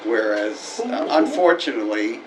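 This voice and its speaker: A committee member at a public meeting giving measured, deliberate opinions. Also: male voice, fairly low pitch, animated. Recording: distant mic with background noise.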